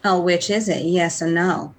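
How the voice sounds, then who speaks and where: A woman's voice: on the low side, steady tone, normal speed, natural voice. An actress playing a wry, savvy female prison guard, bantering dryly in a radio play. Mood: wary, bantering. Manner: dry, bantering.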